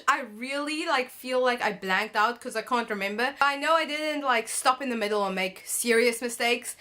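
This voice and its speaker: A woman's voice: fairly high pitch, pushed and bright. A teenage girl from South Africa, chatting in a bubbly, casual vlog with frequent ums. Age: teens. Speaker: vlogger. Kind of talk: casual monthly favourites vlog.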